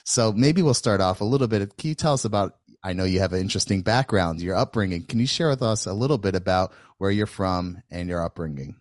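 A man's voice: deep voice, fast, few pauses, no echo, studio quality. A man hosting an interview who asks long, rambling questions full of restarts and fillers. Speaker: interview host.

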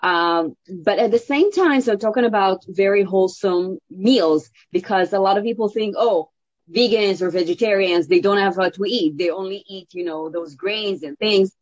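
A woman's voice: mid-range voice, moderate pace, dry recording. A woman chef and cookbook author answering interview questions, telling her story with enthusiasm and many fillers, in English. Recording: dull, dry audio.

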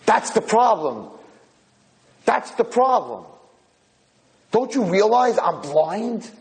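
A man's voice: on the low side, moderate pace, lightly acted. A man voicing a miserable, angry old blind man in a spoken story, given to screaming complaints. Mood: anguished, bitter.

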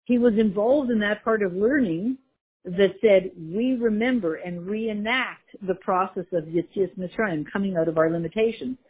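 Woman giving a torah class, kinda breathy, sounds like it's coming thru a phone, keeps hunting for words. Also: mid-range voice, moderate pace, phone-quality sound. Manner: lively, mixes Hebrew and Yiddish terms, searches for words. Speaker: Torah teacher. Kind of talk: Torah class explaining a text.

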